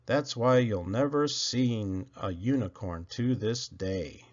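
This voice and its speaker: A man reading children's poetry aloud in an animated, theatrical way with drawn-out phrasing. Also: mid-range voice, muffled recording. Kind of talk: expressive read-aloud of children's verse.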